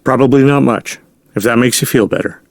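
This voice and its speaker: A man plays an American aide to a president in an audio drama. His mid-pitched voice is dry and close, formal, deferential and pressingly earnest. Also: fairly quick, gravelly, no echo, natural voice. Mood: concerned.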